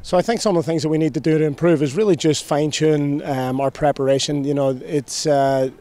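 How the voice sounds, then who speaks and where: A man in his thirties, a head coach, giving a measured post-game interview outdoors at a stadium at night into a handheld mic. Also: a little high, fairly even intonation, mellow. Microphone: handheld mic held below chin.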